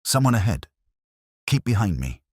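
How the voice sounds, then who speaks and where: A man acts a grave Victorian detective in an audio drama. He is calm, exact and commanding, with a husky voice that moves expressively up and down, in a very dry recording. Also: fairly low pitch, no room sound, pitched above the performer's own voice. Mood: quiet urgency. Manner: measured, quiet, incisive.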